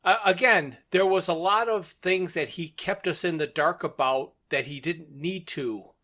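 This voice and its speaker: A man's voice: fairly high pitch, a little slow, pushed and bright. A man co-hosting a podcast, casually discussing and retelling a story in a conversational way. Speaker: podcast co-host.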